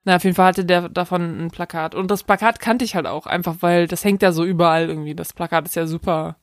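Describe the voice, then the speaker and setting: A woman's voice: lowish voice, quick pace, no echo, clean studio sound. A young adult woman co-hosting a casual film chat, enthusiastic and slangy, laughing often. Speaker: podcast co-host.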